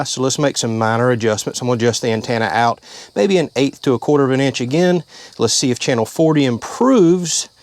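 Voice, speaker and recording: A man with a drawl walks through a hands-on job step by step, speaking plainly and at an easy pace. His voice sits at an ordinary middle pitch, and the sound is that of someone talking inside a vehicle. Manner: plain-spoken, step-by-step explaining. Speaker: channel host. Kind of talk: casual how-to vlog.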